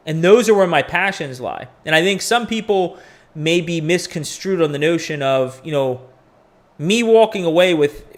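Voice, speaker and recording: A man in his forties hosting a crypto live stream, talking casually and candidly, rambling with profane asides. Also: a little high. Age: 40s. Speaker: crypto podcast host.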